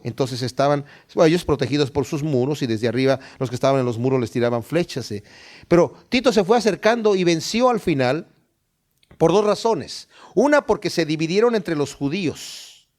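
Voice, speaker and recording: A man's voice: on the high side, a little mellow. A man giving a conversational Bible teaching, asking his listeners rhetorical questions and acting out short dialogues. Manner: acts out short dialogues in quotes.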